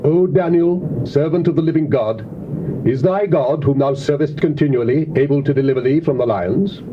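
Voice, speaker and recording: A man's voice: on the high side, normal speed, lightly acted. A British actor playing a majestic, fervent king in a scripture drama, with regal, declamatory delivery. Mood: anguished, calling out. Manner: loud-voiced, declamatory, regal. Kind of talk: dramatized scripture, theatrical oration. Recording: dull, noisy old cassette tape.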